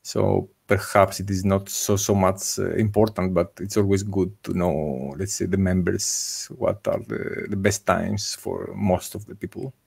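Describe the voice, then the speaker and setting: Man, 30s, video-call meetup organizer; slow, halting, gravelly delivery. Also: sits low, fairly even intonation, a little mellow. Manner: hesitant, many filler uhs. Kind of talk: casual video call discussion. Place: home room with bookshelves. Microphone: desk microphone on video call.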